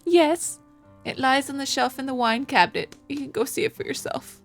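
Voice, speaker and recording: Woman acting out a pointy-eared young bride, high voice, anxious, theatrical, wandering affected accent, visual novel reading over music. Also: moderate pace. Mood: worried, guarded. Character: anxious young newlywed noblewoman with pointed ears, coy, wounded.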